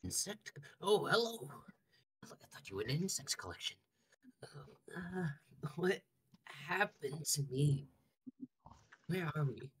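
A woman's voice: sits low, expressive, slow pace, long pauses, breathy edge, heavily acted. A woman voicing a dazed, confused oddball creature in a comic cartoon fandub, hesitant and full of questions.

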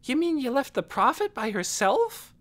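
A cold, hurt young girl in a storybook, voiced by a woman. She is curt and guarded, with a raised pitch and a grudging edge. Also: sing-song, moderate pace. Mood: surprised.

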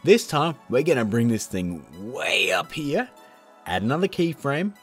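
A man's voice: fairly high pitch, very animated, a little slow, soft background music. A man in his thirties presenting a casual tutorial in an informal, jokey, chatty manner. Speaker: host of an effects tutorial channel.

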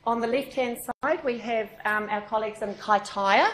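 A woman's voice: fairly high pitch, moderate pace, a bit bright, slight background noise. A woman with a New Zealand accent welcoming a meeting in an informal, chatty way, with many ums and restarts. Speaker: education session organiser. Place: meeting room with a group. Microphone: far room microphone. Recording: echoey room.

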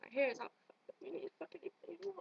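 A young woman with a high voice, chatting casually and teasing her friend. She is playful and swears easily, heard through dull, lo-fi webcam audio. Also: animated, rapid-fire, gravelly, no room sound. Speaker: friend joining in character voices. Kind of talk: casual joking chat. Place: small bedroom at home, indoors. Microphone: computer webcam mic at arm's length.

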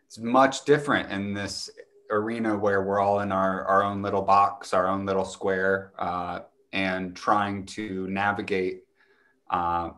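A man in his thirties, an actor giving a measured, reflective answer on a video call. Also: on the low side, fairly bright tone. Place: indoor room, video call.

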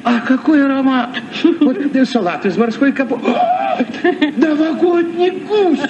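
A man playing an excitable, jolly, gluttonous captain, exclaiming and savouring words in a vintage radio comedy. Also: extremely high pitch, measured pace, full character voice. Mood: greedy delight. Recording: muffled, noisy old radio recording.

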